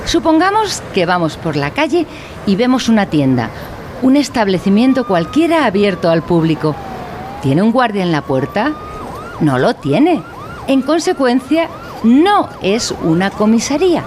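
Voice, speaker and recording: A woman's voice: medium pitch, unhurried, slight background noise. A woman playing an earnest, formal instructor in a satirical educational radio drama. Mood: earnest, didactic. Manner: didactic, deadpan, formal. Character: earnest female instructor of an absurd mock educational course.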